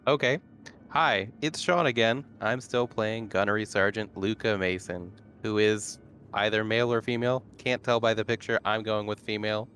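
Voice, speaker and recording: A man with a fairly low voice talks casually as a tabletop gamer. His recording carries faint noise and ambience. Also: steady tone, moderate pace, fairly bright tone. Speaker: tabletop game player. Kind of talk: casual chat.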